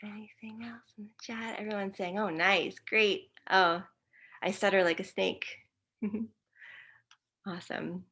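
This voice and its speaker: Woman moderator with an open stutter, mid-pitched, upbeat, laughs often, video call. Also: fairly even intonation, a little slow, fairly bright tone, slightly rough. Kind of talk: casual video-call chat.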